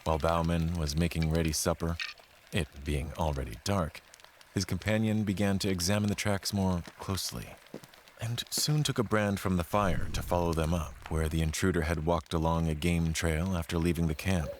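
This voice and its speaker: A man telling a scripted frontier tale as a dramatic narrator, in a measured, formal, literary way. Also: sits low, fairly even intonation, slight background noise. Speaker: storyteller narrating an audio play. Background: music under the voice.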